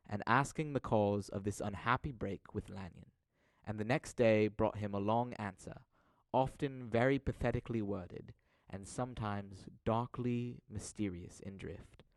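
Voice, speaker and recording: A man narrates a scripted audio drama in a lowish voice. His storytelling is measured and dramatic, recorded very dry and close to echo-free.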